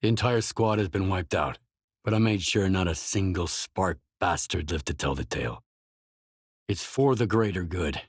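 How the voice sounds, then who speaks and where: A man's voice: low voice, moderate pace, husky, no echo, studio quality. A man playing a determined, blunt stalker in a video game, speaking tersely and seriously in matter-of-fact dialogue lines. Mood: resolute. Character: determined, blunt male stalker adventurer in a grim zone. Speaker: game protagonist.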